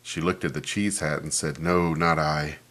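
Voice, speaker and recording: A man reading a children's picture book aloud in an expressive storytelling manner, commenting on the pages as he goes. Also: extremely low pitch, moderate pace.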